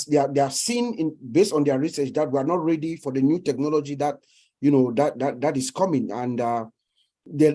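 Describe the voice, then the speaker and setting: A man's voice: a little high, dark tone. A man from Cameroon, a panel guest, speaking hesitantly with many fillers and repeated words. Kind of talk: casual panel chat.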